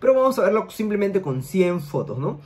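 A young man hosting a screen-share tutorial from a room at home, explaining quickly and tagging his sentences with questions to the viewer. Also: high-pitched, sing-song, darkish tone. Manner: rapid explainer, tag questions. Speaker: money-making YouTuber. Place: home room, seated in gaming chair.

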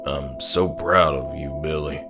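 Man acting a soft, low, tearful father. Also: steady tone, a little slow, music in the background. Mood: tearful, tender. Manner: soft, voice cracking with emotion. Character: loving, massive father, proud and grieving.

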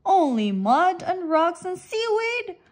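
A woman's voice: extremely high pitch, very animated, slow, pitched above the performer's own voice, full character voice. Woman putting on a sly, swooping villain shark. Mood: grumpy. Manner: cackling, grumbling, menacing.

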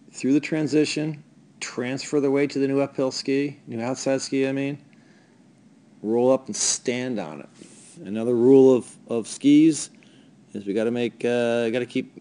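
A man coaching a young ski racer in a voice-over, talking over video in a relaxed, hesitant way with many fillers. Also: mid-range voice, smooth.

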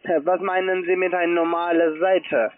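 A man's voice: sits high, level tone, moderate pace. A man with a foreign accent, not a native German speaker, working as a call-centre agent and questioning a customer in a pushy sales call. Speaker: call-centre agent selling online trading. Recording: narrow, tinny phone line.

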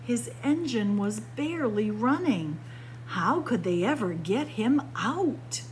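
Slow-paced woman reading a picture book aloud. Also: animated, a little mellow, low-quality recording. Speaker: library story time reader. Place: indoors by bookshelves. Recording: clear background noise with low hum.

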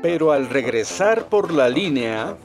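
A man narrates a children's cartoon in Latin American Spanish. His voice is high for a man and very animated, with music behind it. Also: measured pace.

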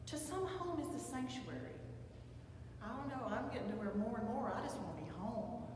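An older woman pastor with a Southern American accent delivers a measured, reflective sermon from the pulpit. Her voice rings through a big echoey sanctuary, picked up from a distance. The ambient noise of the room stays clearly audible underneath. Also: fairly high pitch, animated. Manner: measured, reflective delivery with slow emphatic pauses. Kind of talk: scripture reading and sermon. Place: large church sanctuary with pipe organ, at a pulpit. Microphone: far camera, pulpit microphone. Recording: echoey church hall, heard from afar.

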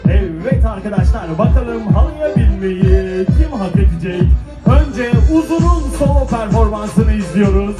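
A man's voice: high voice, lively intonation, a little slow. A man hosting a children's stage event, rallying the audience with exclamations and prompts. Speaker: children's show host. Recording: echoey hall, loud music and crowd.

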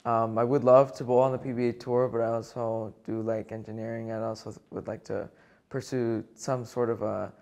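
A young man from Kansas answers interview questions in a voice with a gravelly, creaky edge. His pitch sits mid-range, and his answers wander a little as he talks them through. He is seated in an office chair in a windowed room. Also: flat delivery, normal speed, darkish tone. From Kansas, USA. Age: young adult. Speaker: college bowler interviewee. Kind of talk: short answers to interview prompts.

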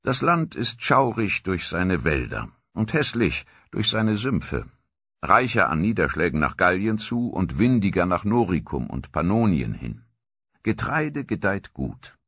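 A man giving dramatic scripted documentary narration with theatrical, deliberate storytelling. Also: deep voice, vocal fry, fairly bright tone, breathy edge, dry recording.

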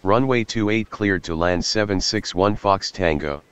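A man voicing a businesslike airline pilot in a game, giving flat, clipped procedural readbacks to the tower. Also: sits low, unhurried, clean tone, highs cut off. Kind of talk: clipped aviation radio readbacks. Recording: aircraft noise behind.

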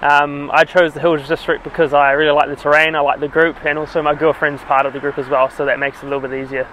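A young man, introducing himself in a quick, matter-of-fact way. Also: on the high side, little pitch movement, a bit bright, a little hiss or hum, rough recording. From New Zealand. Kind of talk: brief self-introduction.

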